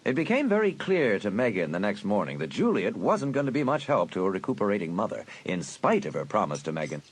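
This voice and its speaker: A man narrating a suspense audiobook in a dramatic, deliberate storytelling manner. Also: on the low side, very animated, slightly rough.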